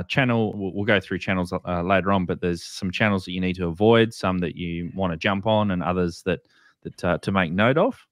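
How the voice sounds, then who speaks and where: A low-pitched Australian man hosts an informal explainer. His delivery is halting, with words repeated as he goes. The sound is close and dry, with very little room in it. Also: a bit bright, no room sound. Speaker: host. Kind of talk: casual explainer with screen share.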